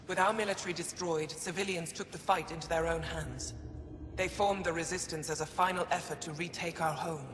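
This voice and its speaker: An actor playing a weary, steadfast alien resistance commander in a video game, speaking in a measured, formal, earnest way. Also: male voice, on the high side, fairly even intonation, a bit bright, lightly acted. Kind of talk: dramatic game dialogue. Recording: reverberant with background ambient noise.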